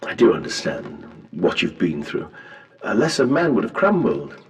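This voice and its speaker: A man's voice: on the low side, wildly swooping pitch, moderate pace, lo-fi sound. An actor playing a polite, inquisitive Victorian professor in a video game, courteous and mannered in his speech. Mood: concerned, admiring. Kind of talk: scripted game voice acting, period diction. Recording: distant from the microphone.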